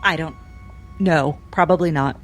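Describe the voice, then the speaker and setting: A flustered, defensive doctor, halting speech. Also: female voice, low voice, soft background music. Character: guarded, flustered woman doctor, defensive under questioning. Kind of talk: 1960s cinematic drama acting.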